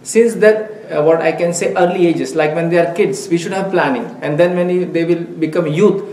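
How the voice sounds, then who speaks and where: Urdu-speaking guy giving a slow earnest lecture, kinda high voice, echoey room. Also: darkish tone. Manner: careful, deliberate, earnest. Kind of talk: religious lecture. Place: mosque meeting room. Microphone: headset mic. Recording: echoey room, faint hiss.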